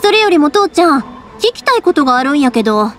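A woman's voice: high-pitched, fast. A bubbly fireworks girl with a Kansai accent. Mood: cheerful. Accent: Kansai Japanese. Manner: lively, chatty, warm teasing. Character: cheerful young woman who runs a fireworks shop, lively. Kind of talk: game voice acting. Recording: game audio with music behind.

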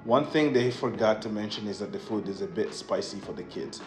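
A man with a fairly low voice delivers a scripted travel review. Loud music plays behind his narration throughout. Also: brisk. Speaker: travel vlogger. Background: loud stock music.

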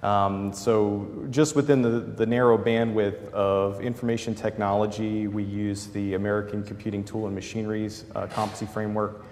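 A man in his thirties with a boomy voice, explaining his work as a panelist at a conference, with many fillers. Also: flat delivery, moderate pace, few pauses, a little mellow. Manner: gestures broadly while explaining, many fillers. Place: large conference hall. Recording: hall reverb, faint room noise.

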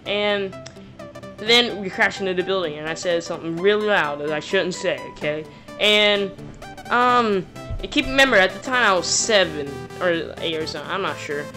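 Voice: medium pitch, expressive, normal speed, music in the background. A YouTuber telling a personal story in a casual, rambling, slangy way. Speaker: YouTuber narrating a personal story over gameplay.

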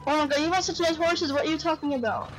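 A woman's voice: sits high, quick pace, poor audio. A young woman chatting casually on voice chat, rating things with lots of fillers and giggles. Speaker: channel host rating horses. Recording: faint game music behind.

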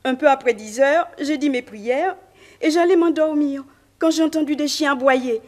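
Actress as a plain, fretful housemaid with a high voice, radio play. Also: female voice, moderate pace, darkish tone, lightly acted. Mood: telling. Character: simple pious female housemaid, fretful. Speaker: servant witness. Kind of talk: 1950s radio drama acting.

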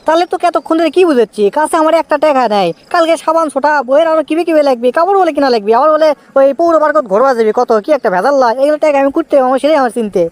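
A man's voice: very high voice, racing, few pauses, dry recording. A man voicing a cheeky, evasive young newlywed husband with a Bogura Bangladeshi accent, whining out excuses in a comic cartoon. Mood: exasperated.

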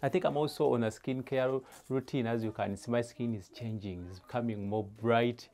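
A young man hosting a casual cooking vlog, speaking haltingly and repeating his phrases. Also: mid-range voice, steady tone, a little mellow. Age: young adult. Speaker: vlog host.

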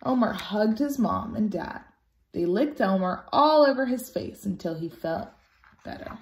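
A woman reading a children's picture book aloud expressively and deliberately, as a storytime teacher. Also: mid-range voice, animated, slight background noise. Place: small room at home, indoors. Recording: roomy home recording.

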